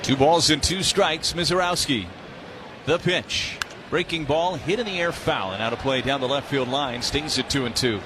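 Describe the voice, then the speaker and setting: Give a man's fairly high voice doing live baseball play-by-play on the radio. He works as the game announcer, with clear outdoor stadium noise around him. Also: normal speed. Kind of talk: live radio sports commentary. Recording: steady ballpark noise behind voice. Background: outdoor stadium ambience.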